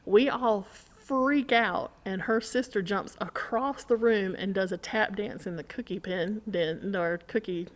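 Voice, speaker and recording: A middle-aged woman telling stories in casual conversation, in an animated, rambling way. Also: mid-range voice, measured pace, few pauses, rough recording. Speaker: podcast guest or co-host. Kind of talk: casual chat, storytelling.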